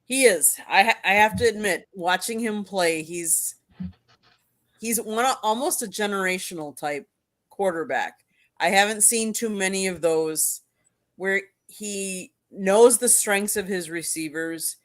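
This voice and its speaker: A woman's voice: medium pitch, a little slow. A woman co-hosting a sports talk show, chatting casually and conversationally.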